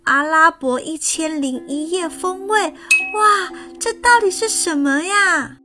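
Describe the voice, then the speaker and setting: A woman reading a storybook aloud and voicing a timid young schoolgirl who is afraid of water, with a wide-eyed, exclaiming manner. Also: slow. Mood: excited. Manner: wide-eyed, exclaiming, higher and brighter than the narration. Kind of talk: storybook character voice. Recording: faint music underneath.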